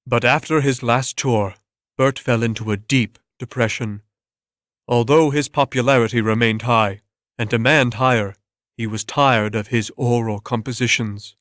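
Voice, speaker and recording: A man's voice: medium pitch, steady tone, moderate pace, a bit bright, dry recording, clean studio sound. A man narrating a story as a scripted dramatic reading.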